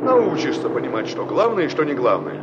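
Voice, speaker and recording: A man's voice: fairly high pitch, sing-song, brisk, lo-fi sound. An actor in a Soviet radio play as an irritated man who scolds and lectures a stubborn girl. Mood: stern, lecturing. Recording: noisy radio drama with passing car sounds.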